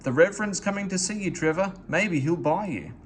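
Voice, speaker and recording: Eager engine driver, guy reading, excited, high and jumpy voice. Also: brisk, a little hiss or hum.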